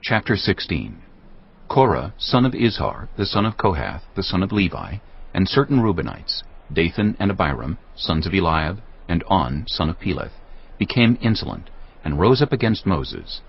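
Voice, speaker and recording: A man's voice: sits low, very animated, normal speed, husky. A man narrating a dramatised scripture audiobook in a scripted storytelling style. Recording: slightly dull audio, faint background noise.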